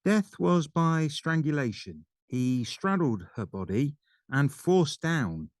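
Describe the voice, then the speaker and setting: Man acting nervous mortician, audio drama, slow halting delivery, slightly high male voice, close dry sound. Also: very animated, dry recording. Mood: matter-of-fact. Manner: hesitant, fumbling, stammering over his report.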